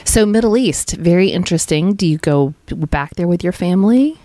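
A woman's voice: lowish voice, animated, moderate pace, warm tone, slight background noise. A woman hosting an interview, asking questions with short, encouraging replies. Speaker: interview host. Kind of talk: casual interview.

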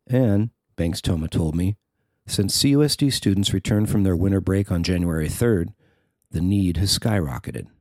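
Male narrator, middle-aged, deep and gravelly, reading a personal feature in a very dry recording. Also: expressive, moderate pace, darkish tone, no room sound. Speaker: writer and narrator.

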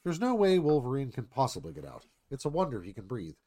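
A man's voice: medium pitch, huge pitch swings, fast talker, mellow. A man narrating stage directions from a screenplay in a live scripted read.

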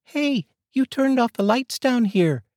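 A man voicing an excited young boy in a storybook reading, pitched well up. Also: measured pace, no room sound, clean studio sound.

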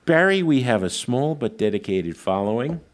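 A man's voice: medium pitch, moderate pace. A man co-hosting a podcast in casual, jokey chat. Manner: jokey, animated. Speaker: podcast co-host.

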